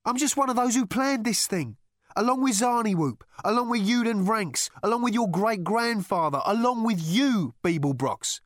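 A man's voice: a little high, sing-song, normal speed, no echo, studio quality, pitched above the performer's own voice. A man reading an audiobook, voicing a grim space conspirator with an emphatic, ominous way of talking. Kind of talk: audiobook character voice.

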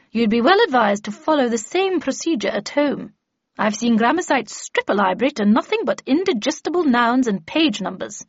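A woman voicing an imperious, brisk older lady mentor in an audiobook, with clipped, commanding and pompous delivery. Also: fairly high pitch, husky, no room sound, highs cut off, voice pushed up. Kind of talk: audiobook character dialogue.

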